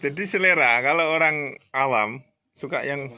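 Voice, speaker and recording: A man's voice: on the high side, moderate pace, pushed and bright. A jovial, teasing man hosting a casual durian farm vlog, chatting outdoors. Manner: jovial, teasing, laughs often. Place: outdoors at an orchard shelter.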